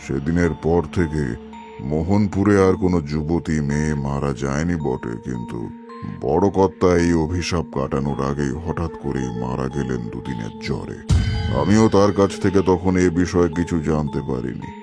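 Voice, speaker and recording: A grave occult priest and storyteller, played by a man, with a low voice and solemn, measured delivery. It is scripted cartoon horror narration, with music clearly playing behind it. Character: grave male tantric priest narrating a ghost tale.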